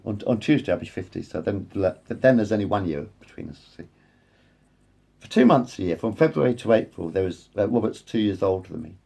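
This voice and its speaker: A man's voice: fairly low pitch, gravelly, warm tone, slightly rough. A man in his late forties rambling to his phone camera, repeating and correcting himself as he wanders between thoughts. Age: 40s. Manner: repetitive, meandering, self-correcting. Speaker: vlogger. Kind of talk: rambling casual monologue to camera. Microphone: phone front camera.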